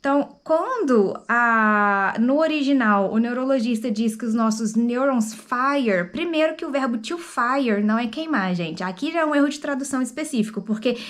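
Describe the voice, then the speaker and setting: A woman's voice: a little high, animated, normal speed, few pauses. A woman chatting to the camera in a casual book review and explaining things to her viewers. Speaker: book vlogger.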